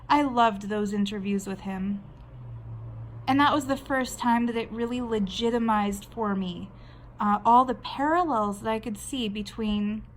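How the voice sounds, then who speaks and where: A woman's voice: medium pitch, a little hiss or hum. A Canadian woman talking casually while she draws, rambling and chatty with frequent restarts. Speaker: artist vlogger. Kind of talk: casual chat while drawing.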